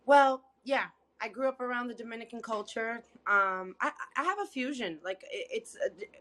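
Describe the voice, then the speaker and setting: A woman's voice: fairly high pitch, animated, normal speed, bright, forceful tone, slight background noise. A young woman from Massachusetts, a singer-songwriter guest on a podcast, talking in an upbeat, emphatic way and laughing often. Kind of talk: casual chat.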